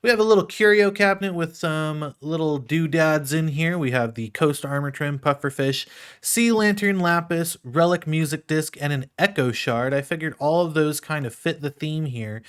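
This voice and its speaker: Higher-pitched man chatting through gameplay. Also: fairly bright tone, smooth. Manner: playful, chatty, thinking aloud. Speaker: gaming video host. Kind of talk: casual gameplay commentary over a block-building game.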